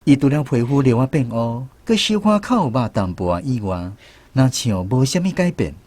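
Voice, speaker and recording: A man's voice: medium pitch, big pitch swings, normal speed, darkish tone. A man with a Taiwanese accent narrating a radio drama as a storyteller.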